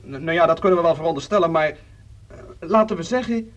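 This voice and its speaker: An actor playing a hurried newspaper reporter dictating sensational copy over the telephone in a radio play. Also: male voice, on the high side, lo-fi sound, natural voice. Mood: brisk, urgent. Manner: hurried, halting dictation, businesslike. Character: brisk newspaper reporter dictating lurid disaster copy by phone.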